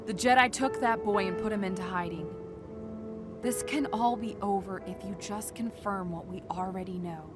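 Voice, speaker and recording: Casting: actress for wry hired agent, medium pitch, brisk casual delivery, dramatic comic dub over music. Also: female voice, natural voice. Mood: pressing, accusing. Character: brisk, sardonic female rogue agent.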